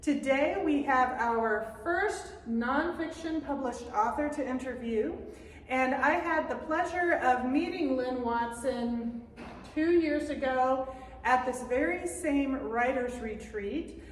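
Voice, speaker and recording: A woman's voice: high-pitched, takes it slowly. A woman co-hosting an interview in a chatty, friendly way, with fillers and restarts. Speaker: podcast co-host. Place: echoey room at a writer's retreat. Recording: echoey distant room mic with faint noise.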